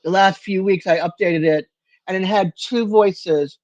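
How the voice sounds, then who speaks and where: An older woman hosting a casual livestream chat from home, rambling and halting with lots of fillers and restarts. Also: medium pitch, darkish tone, no room sound. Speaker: livestream host. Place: home room with a fan. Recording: dull lo-fi stream audio.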